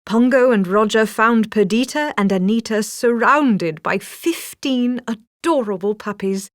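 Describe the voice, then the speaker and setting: A woman's voice: on the high side, measured pace, no echo, studio quality. A woman reading a scripted children's story as a storybook narrator.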